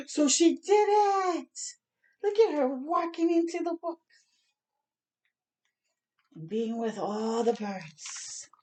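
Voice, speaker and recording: A woman reading a children's picture book aloud expressively, pausing to chat and ask young listeners questions. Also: high voice. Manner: animated, asks the listeners questions.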